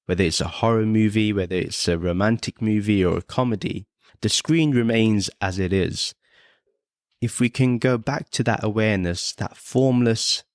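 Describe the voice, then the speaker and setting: Low male voice, meditation teacher, short phrases w pauses, measured, really dry close sound. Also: no echo. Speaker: meditation teacher and podcast host. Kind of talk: guided meditation instruction with short commentary.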